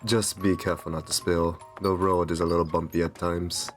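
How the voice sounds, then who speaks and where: A man playing a courtly, soothing vampire in an ASMR roleplay, speaking softly and genteelly with a possessive kindness. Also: sits low, level tone, soft background music, lightly acted. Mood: soothing, caring. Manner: soft, soothing, genteel, unhurried.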